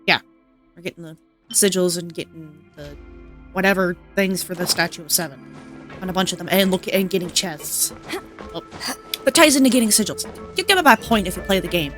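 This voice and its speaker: A woman's voice: lowish voice, animated, a little fast, fairly bright tone. A woman giving casual gameplay commentary as a streamer, rambling, reactive and given to swearing. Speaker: game streamer. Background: game music.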